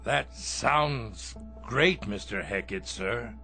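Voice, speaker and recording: Desperate, meek old man, swinging pitch. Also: expressive, extremely slow, creaky, sharp tone, faint music behind, heavily acted. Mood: meek, subdued. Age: older. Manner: pleading, stammering, deferential. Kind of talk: radio drama acting.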